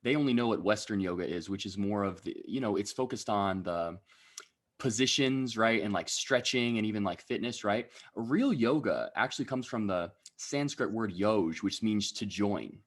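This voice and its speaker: A young man speaks as a podcast guest in a slightly low voice. He talks through his story in an easygoing way and keeps checking in with his listener at the end of his sentences. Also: normal speed. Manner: relaxed explaining, frequent tag questions. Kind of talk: casual interview.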